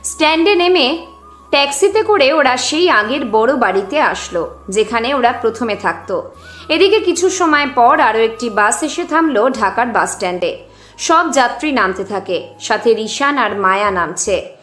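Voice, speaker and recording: A woman's voice: fairly high pitch, expressive, moderate pace, fairly bright tone. A woman narrating a scripted dramatic story as its storyteller. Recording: roomy echo with music underneath.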